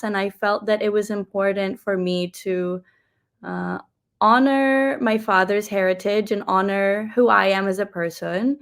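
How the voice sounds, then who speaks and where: A young woman from New Zealand, a pageant contestant, answering interview questions in a reflective, earnest way and slipping in Filipino phrases. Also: medium pitch.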